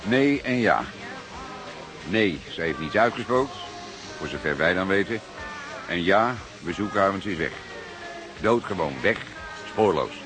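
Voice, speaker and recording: Seasoned male detective, radio drama. Very deep, dry, deliberate, persistent; background music. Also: lively intonation, rough recording. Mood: grave, matter-of-fact. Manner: deliberate, dryly formal, spells out words. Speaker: actor as police detective. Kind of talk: Dutch radio play acting.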